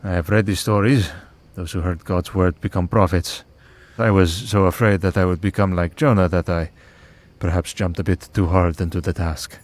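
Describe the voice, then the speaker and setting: A man playing a weary, devout and gentle vampire hunter priest in an ASMR roleplay, speaking in a measured, solemn way with pauses. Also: low voice, fairly even intonation, lightly acted. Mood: solemn, reflective. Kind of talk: ASMR roleplay audio drama.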